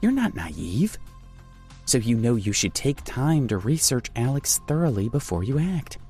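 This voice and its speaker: A man's voice: medium pitch, very animated, a little slow, dark tone, breathy edge, soft background music, voice pushed up, heavily acted. A man voicing a worried young woman who pleads anxiously with her brother. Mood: worried, pleading. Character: worried protective young woman, his sister.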